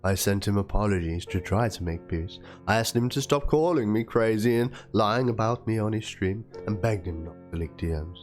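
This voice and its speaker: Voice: deep voice, lively intonation, moderate pace, hardly any pauses, a little mellow. A reader giving a theatrical dramatic reading of written messages in an aggrieved, pleading manner. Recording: music under the voice.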